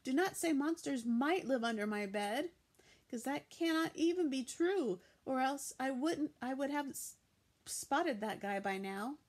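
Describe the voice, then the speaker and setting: A woman voicing an excitable, bossy little girl of about six, shouting in short breathless bursts as an audiobook character. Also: high-pitched, a little slow, voice pushed up. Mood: excited, frantic.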